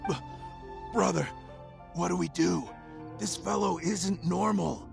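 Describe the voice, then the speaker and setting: A man's voice: high voice, slow, creaky, music in the background, heavily acted. An actor playing a jumpy male treasure hoarder bandit in a video game who likes to explain things. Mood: nervous.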